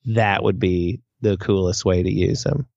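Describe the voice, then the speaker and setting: Low-voiced man, dry slightly dull recording. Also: unhurried, gravelly, a little mellow, no echo. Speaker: podcast co-host. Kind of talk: casual fan discussion.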